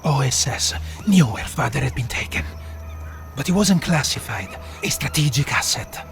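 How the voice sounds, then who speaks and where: Game character: earnest resistance loyalist, medium register, grave delivery, faint score. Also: male voice, medium pitch, sing-song, normal speed, soft background music. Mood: solemn, loyal. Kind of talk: cinematic military game acting.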